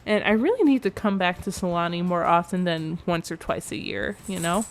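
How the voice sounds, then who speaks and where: A woman's voice: fairly low pitch, animated, fairly quick. A young woman giving a rambling, chatty commentary over a game build, sounding a little nasal from allergies. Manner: chatty, rambling, self-correcting. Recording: faint background music.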